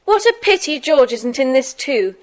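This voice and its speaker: A woman's voice: high voice, very animated, normal speed. A woman playing a curious, concerned child in a secret club, with an inquisitive manner, in an audio drama. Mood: pleading.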